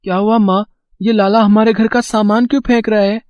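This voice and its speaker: A man's voice: high voice, flat delivery, a little fast, dry recording, lightly acted. A man playing an earnest, defiant, devoted village son in a moral story. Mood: concerned. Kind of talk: dramatised Hindi moral story. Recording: dull lo-fi, very dry.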